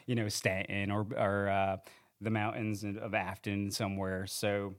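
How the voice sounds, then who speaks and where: A man's voice: fairly low pitch, level tone, unhurried. A man, a company training manager, talking casually and earnestly in a podcast studio interview, wandering and correcting himself as he goes. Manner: rambling, self-correcting, earnest. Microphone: handheld studio mic.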